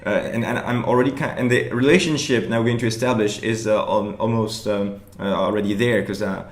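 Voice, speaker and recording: Halting man in an echoey room. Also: lowish voice, steady tone. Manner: halting, many restarts, laughs often. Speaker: improv performer reviewing his show. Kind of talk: casual commentary over show footage.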